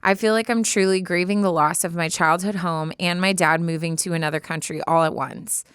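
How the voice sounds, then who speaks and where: A woman's voice: on the low side, fairly even intonation, a little fast, fairly bright tone, clean tone, no echo, studio quality. An American woman co-hosting a podcast, speaking in an informal, slangy, casual way. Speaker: podcast co-host. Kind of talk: casual banter and reading a listener letter aloud. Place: podcast studio.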